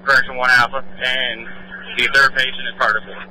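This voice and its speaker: A man with a mid-pitched, very sharp and forceful voice reports from a rescue scene. He speaks in terse, direct phrases over a two-way radio, with faint noise on the signal. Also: little pitch movement, fairly quick. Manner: direct, clipped. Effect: two-way radio transmission. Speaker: rescue crew leader in the field. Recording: faintly noisy outdoor radio scanner transmission.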